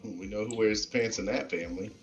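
A man with a fairly low voice chats casually among gaming friends. He teases as he talks, over faint music. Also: fairly even intonation, quick pace, highs cut off. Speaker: streamer's friend and co-player. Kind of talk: casual teasing banter in game voice chat.

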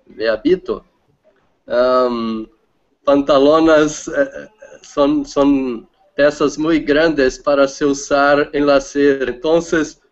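A man from Brazil, a native Portuguese speaker with a Brazilian accent, speaking hesitantly as a student and searching for words. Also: medium pitch. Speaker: language student. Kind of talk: casual chat. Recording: dull lo-fi video call audio.

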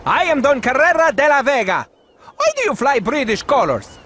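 An actor voicing a haughty, aggressive Spanish ship captain with a Spanish accent in a video game. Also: male voice, very high voice, sing-song, moderate pace, background noise. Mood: imperious.

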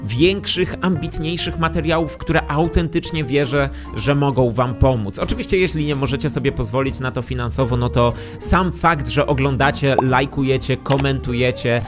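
A man's voice: medium pitch, moderate pace, hardly any pauses. A man in his thirties presenting to camera as a YouTube host, speaking close into a studio microphone. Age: 30s. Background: music.

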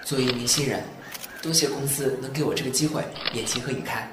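A man's voice: mid-range voice, fast talker, background noise, lightly acted. A man playing an earnest, modest young novice actor in an audio drama, sincere and deferential, with roomy echo. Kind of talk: Chinese audio drama acting.

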